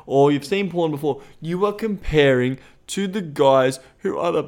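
A man's voice: fairly high pitch, moderate pace. An Australian man co-hosting a podcast in casual chat, blunt and swearing freely. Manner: blunt, profane and animated. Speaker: podcast co-host. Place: Thailand.

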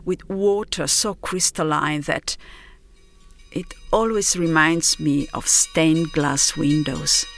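A woman narrating her own scripted first-person story in a reflective, unhurried manner with deliberate pauses. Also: low voice, fairly even intonation, vocal fry.

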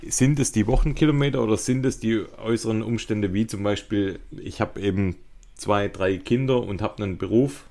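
Lower-voiced man with dry humour. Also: brisk, dark tone. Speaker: podcast co-host. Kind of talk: casual podcast chat.

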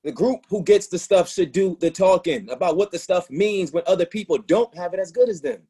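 A man acting in a play reading as a wounded, confrontational young boyfriend, with a slightly husky, halting delivery. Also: high-pitched, lively intonation, warm tone. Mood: angry, agitated.

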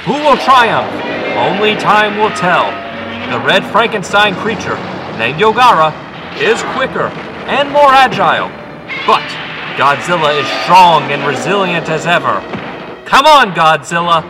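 A man playing an excited on-the-scene reporter, giving dramatic live commentary in an audio drama. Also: sits high, a little slow, over music, rough recording.

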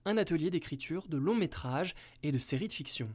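A woman narrating a scripted news report in a smooth, measured voice-over. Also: deep voice, lively intonation, a little mellow, dry recording.

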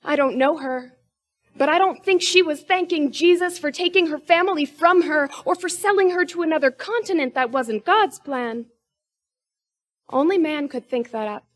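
High-voiced young woman performing spoken word. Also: normal speed. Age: 20s. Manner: rhythmic, emphatic delivery with sharp rises in pitch and force.

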